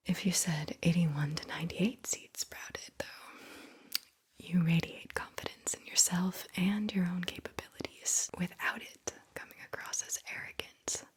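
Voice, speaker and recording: A woman whispering slowly and soothingly as an ASMR host, guiding the listener deliberately and repeating words. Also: fairly low pitch, breathy edge. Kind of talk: whispered ASMR guided visualization.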